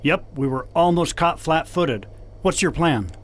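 A man's voice: a little high, animated, a little slow. A man playing a hard-bitten, decisive space patrol commander in a science fiction radio drama, speaking in a blunt, folksy way. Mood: wry.

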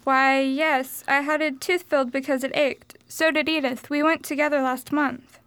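A woman's voice: high voice, level tone, normal speed, fairly bright tone, no echo. A woman playing a caring, anxious young wife and sister in an amateur radio drama. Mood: concerned.

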